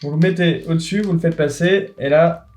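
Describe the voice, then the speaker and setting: A man's voice: on the high side, a little fast, noisy background. A man hosting a casual do-it-yourself tutorial, explaining each step plainly and joking with his co-host. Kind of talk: casual DIY tutorial with banter.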